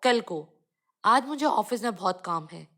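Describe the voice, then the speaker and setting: A woman's voice: medium pitch, expressive, measured pace, sharp tone, heavily acted. A woman voicing an easygoing, busy city uncle in a cartoon, talking casually and reassuringly.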